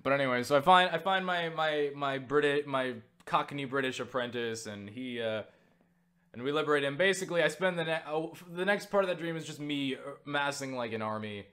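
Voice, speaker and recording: A young man casually recounting a story for viewers, excitable and full of swearing and filler words. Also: on the high side, brisk. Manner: excitable, swears freely, lots of fillers and laughs. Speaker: YouTuber answering viewer questions.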